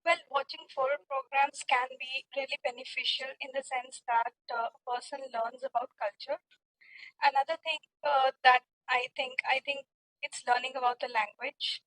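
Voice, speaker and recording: A woman with an Indian accent answers as a candidate in a spoken English test. Her voice is fairly high and very bright, and she speaks haltingly, feeling for the next phrase. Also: fairly even intonation, poor audio. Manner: hesitant, halting. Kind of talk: unscripted spoken exam answers.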